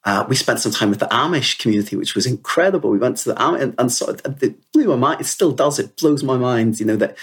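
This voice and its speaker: A man's voice: medium pitch, expressive, quick pace, hardly any pauses, a bit bright, slightly rough. A man in his fifties with a Northern Irish accent, telling personal stories earnestly and conversationally as an interview guest. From Northern Ireland. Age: 50s. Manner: earnest, conversational, peppered with "you know". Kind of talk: reflective personal storytelling in conversation.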